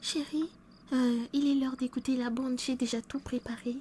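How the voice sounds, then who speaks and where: A woman playing a caring wife who gently worries about her sad husband in a church skit. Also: high voice, level tone, normal speed, slight background noise, close to an everyday voice. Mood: concerned. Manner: gentle, concerned, affectionate.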